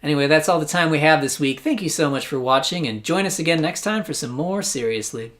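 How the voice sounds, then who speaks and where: A man's voice: fairly high pitch, quick pace, fairly bright tone. An American man hosting a satirical news show, delivering scripted comedic commentary in a sarcastic, mock-incredulous way.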